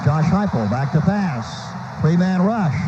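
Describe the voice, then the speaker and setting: High-pitched American man calling football on radio. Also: very animated, unhurried. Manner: clipped running phrases that swell on big plays. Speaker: play-by-play announcer. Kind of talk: live radio football commentary. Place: stadium broadcast booth. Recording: muffled noisy old radio broadcast.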